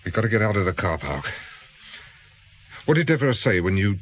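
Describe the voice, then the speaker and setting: Blunt ex-cop with animated delivery. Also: male voice, on the low side, fairly quick. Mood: urgent, wary. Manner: terse, pressing questions, plain colloquial speech. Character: blunt, probing ex-policeman turned amateur investigator. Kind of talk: naturalistic radio drama acting.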